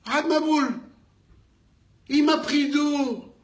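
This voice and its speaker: A man with a North African French accent telling a business anecdote in an animated way, acting out the dialogue with emphatic repetitions. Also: very high voice, takes it slowly, roomy sound. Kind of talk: animated storytelling.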